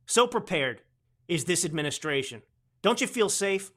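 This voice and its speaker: Bright-voiced sarcastic male podcast host. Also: high voice, animated, normal speed. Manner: sarcastic, mocking, rambling with restarts. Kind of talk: political commentary podcast.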